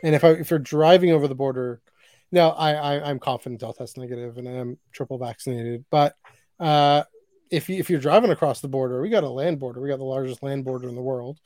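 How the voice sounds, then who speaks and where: A man's voice: medium pitch, fast, a little mellow, dry recording, clean studio sound. A man from Canada co-hosting a podcast, talking enthusiastically and restarting his sentences often. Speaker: podcast co-host. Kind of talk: casual podcast chat.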